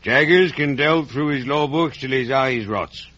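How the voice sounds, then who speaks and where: An actor playing a big, grey-haired ex-convict, rustic, halting and fervently sentimental, in a 1950s radio drama. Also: male voice, mid-range voice, pushed and bright, dry recording. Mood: grave warning. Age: older. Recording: dull vintage radio recording.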